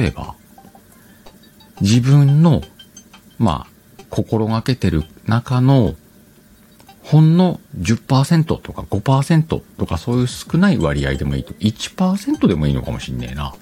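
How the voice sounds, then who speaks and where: A man's voice: on the low side, wildly swooping pitch, a little slow, warm tone, faint music behind. A man hosting a casual advice talk, explaining conversationally and questioning his own phrasing as he thinks aloud. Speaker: podcast host.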